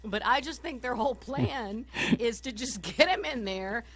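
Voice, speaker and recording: A woman with a hoarse voice from a summer cold, co-hosting a political talk radio show from home, ranting animatedly and laughing often as she talks. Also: fairly high pitch, lively intonation, a little slow, a bit bright.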